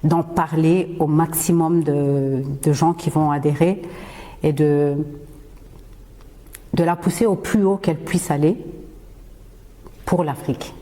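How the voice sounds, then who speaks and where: Woman, native Swahili speaker, low mellow voice, slow halting podcast talk, long hall reverb. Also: steady tone. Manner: slow, halting delivery with frequent "euh" and repeated words; impassioned, rallying. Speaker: podcast host. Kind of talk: earnest solo podcast monologue.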